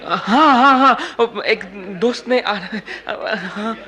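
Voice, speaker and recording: Jittery, hesitant man hiding something; slow halting radio-drama delivery over crowd noise. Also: very high-pitched, animated, pushed and bright, full character voice. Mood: nervous. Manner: hesitant, stammering. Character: nervous, evasive man with something to hide. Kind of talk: radio play acting.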